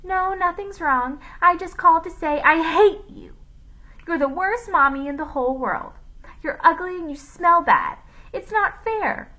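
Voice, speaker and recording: A woman's voice: very high voice, lively intonation, a little slow, sharp tone, voice pushed up, full character voice, low-quality recording. A woman voicing a villain who mimics a spiteful, whiny child in a storybook reading.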